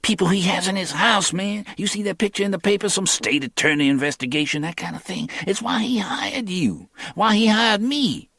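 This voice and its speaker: A high, lively voice plays a streetwise young hustler, quick and clipped in his street talk, boastful and swinging all over in pitch. The reading is close and dry. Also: gravelly, dry recording, heavily acted. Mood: animated. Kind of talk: audiobook character reading.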